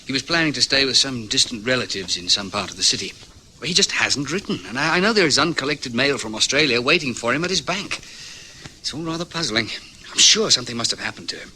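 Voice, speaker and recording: A man's voice: mid-range voice, expressive, fast, sharp tone, slight background noise, low-quality recording. A man playing a polite, earnest young traveller in a radio drama, deferential and a little hesitant. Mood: puzzled, worried. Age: young adult. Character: polite, earnest young traveller worried about his friend.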